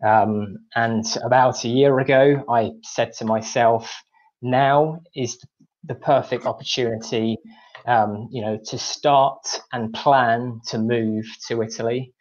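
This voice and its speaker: A man in his thirties with an English accent, speaking from home on a video call, giving a measured personal account with frequent ums as a webinar guest. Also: mid-range voice, fairly even intonation, a little mellow. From England. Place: home room, indoors. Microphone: video call, earphones. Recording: slightly dull video call audio.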